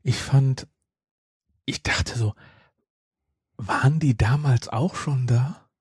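A man's voice: mid-range voice, slow, darkish tone, slightly rough, no room sound, studio quality. A man chatting casually as a podcast host, explaining things in a rambling way and correcting himself.

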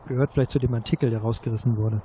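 A man's voice: medium pitch, fairly even intonation, racing, warm tone, a little hiss or hum. A man playing as a contestant, politely making suggestions in casual game discussion.

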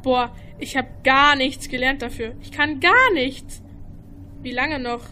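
A woman's voice: high voice, slow, bright, forceful tone, slight background noise, heavily acted. A teenage girl acting an inquisitive, slightly bossy schoolgirl in an amateur radio play. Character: schoolgirl, inquisitive puzzle-solver, a bit bossy.

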